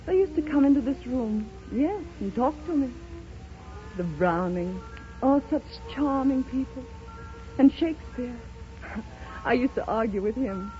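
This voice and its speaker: An actress playing a serene, rapturous bedridden blind woman in a 1930s radio drama, speaking with lyrical, theatrical fervor. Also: on the high side. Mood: tender, serene. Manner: theatrical, impassioned, lyrical declamation. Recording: muffled 1930s radio broadcast, loud music.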